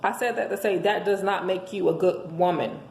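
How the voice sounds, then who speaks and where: A hoarse woman giving an emphatic, preachy motivational monologue, speaking as a host handing out relationship advice to listeners. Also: on the low side, moderate pace, a little mellow. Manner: emphatic, preachy, rhetorical questions. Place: indoors at home. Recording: echoey room with faint background noise.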